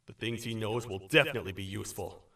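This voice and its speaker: Male actor, commanding creature boss, somewhat low, grim, tense tone, distant echoey sound, video game. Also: fast talker, vocal fry, bright, forceful tone. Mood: grim, resolute. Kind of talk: video game character acting.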